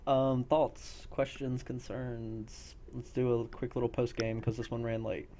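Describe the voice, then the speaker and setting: A man's voice: medium pitch, little pitch movement, measured pace, noisy background. A man speaking casually as he runs a post-game chat for a roleplay group. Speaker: game master of an online tabletop-style roleplay group.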